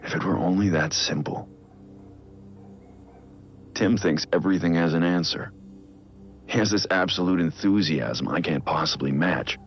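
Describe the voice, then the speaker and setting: An actor playing a brooding, guilt-ridden middle-aged vigilante who records grave, measured, introspective audio logs in a video game. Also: male voice. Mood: brooding, doubtful. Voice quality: deep, gravelly. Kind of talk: scripted audio log narration, video game acting. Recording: muffled lo-fi audio log, music underneath. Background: music.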